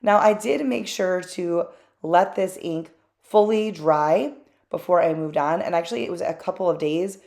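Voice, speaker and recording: A chatty woman with a slightly low voice narrates her own craft project as a voice-over. She talks candidly, drifting into small asides and filling pauses with ums. The tone is loose and unscripted, like a host talking to regular viewers. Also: vocal fry, a little mellow.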